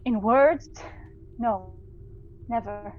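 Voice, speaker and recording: A sorrowful young woman in a period radio play, with a fairly high voice. She speaks with gentle, earnest dignity in measured phrases, on a slightly dull recording with faint noise. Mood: sorrowful, firm. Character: sorrowful, dignified young fiancée releasing her beloved. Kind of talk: theatrical audio drama acting.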